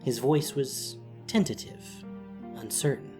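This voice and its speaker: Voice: medium pitch, slow, mellow, soft background music. A narrator reading a scripted fantasy story in a dramatic storytelling style.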